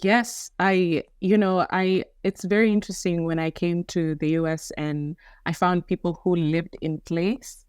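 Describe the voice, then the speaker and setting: A woman's voice: fairly low pitch, fairly even intonation, unhurried, few pauses, a little mellow, clear, resonant tone, no room sound, clean studio sound. A woman from Kenya, a young adult founder, telling her story reflectively in an interview, joining remotely from home. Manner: reflective, storytelling with frequent restarts. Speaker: guest, company founder. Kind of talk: conversational interview. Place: home in Denver, remote call.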